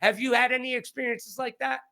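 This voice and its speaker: A man's voice: very high-pitched, flat delivery, a little fast, gravelly, pushed and bright. A man hosting a casual sports interview, chatting in repetitive bursts. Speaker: sports interview show host.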